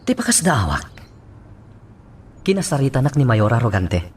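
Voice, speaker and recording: An actor playing a brisk, determined police chief in an Ilocano radio drama. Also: male voice, medium pitch, big pitch swings, husky, far from the mic. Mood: frustrated.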